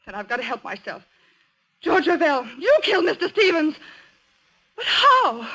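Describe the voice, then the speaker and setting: High-voiced, headstrong young heroine. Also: female voice, sing-song, normal speed, bright, forceful tone, exaggerated voice. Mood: accusing. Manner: crisp, emphatic, insistent. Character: headstrong, accusing young woman in a murder mystery. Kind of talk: 1940s radio acting. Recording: noisy vintage radio recording.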